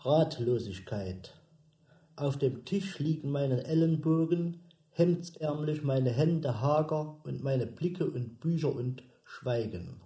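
A despairing poet-narrator in a radio play, with a very mellow, dark tone. He recites slowly in broken phrases, and the room echoes around him. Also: mid-range voice, expressive. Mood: brooding. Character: brooding male poetic narrator, lost and despairing. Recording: roomy, echoing sound.

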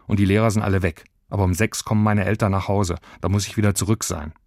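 A man's voice: fairly low pitch, fairly even intonation, fast, a little mellow, dry recording, studio quality, lightly acted. A man voicing a bossy, daring young schoolgirl in a children's story, with a decisive, commanding manner. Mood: determined.

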